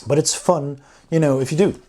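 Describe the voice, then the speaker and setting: Husky, mellow man reviewing games casually. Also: fairly high pitch, fairly even intonation, normal speed. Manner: enthusiastic, informal. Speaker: board game reviewer, host. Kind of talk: casual unscripted product review commentary.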